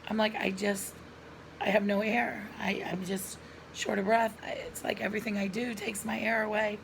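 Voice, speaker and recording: A middle-aged woman with a hoarse voice and frequent coughs, telling viewers a personal story in an earnest, chatty way, recorded at home on a camera mic. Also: mid-range voice, level tone, moderate pace, a little hiss or hum, poor audio. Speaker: lifestyle vlogger.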